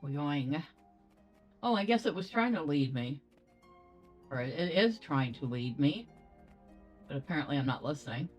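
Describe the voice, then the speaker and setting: Woman, game playthrough host, chatty, thinking aloud, slightly low pitch, lively intonation. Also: normal speed, long pauses, soft background music. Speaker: gaming video host. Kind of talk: casual game playthrough commentary.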